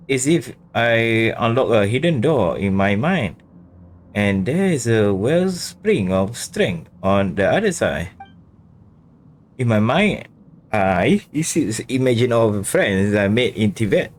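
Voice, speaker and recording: A man streaming a video game, casually commenting and reading dialogue aloud in halting English phrasing. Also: mid-range voice, slight background noise. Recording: game music behind. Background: game music.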